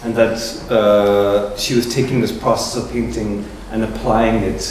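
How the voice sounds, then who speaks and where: A man gives a talk to visitors in a large gallery, his voice bouncing around a very echoey room. He is picked up from some distance, with steady ambient noise underneath. His delivery is measured and reflective. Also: on the low side. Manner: measured, reflective, searching for words. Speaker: curator hosting a gallery talk. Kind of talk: public talk introducing an artist.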